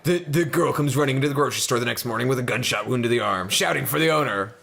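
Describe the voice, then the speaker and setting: A man's voice: mid-range voice, fast, gravelly, fairly bright tone. A man hosting a let's play, casually reading the game's story aloud and adding wry, mocking asides. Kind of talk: casual, joking read-along of game story.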